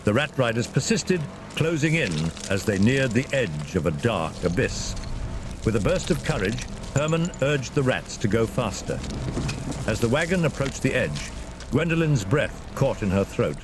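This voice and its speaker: A man narrates a dramatic fantasy tale with a lively, widely moving pitch. His voice sits at a middle register. Clear vehicle rumble and ambient effects play behind him. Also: moderate pace.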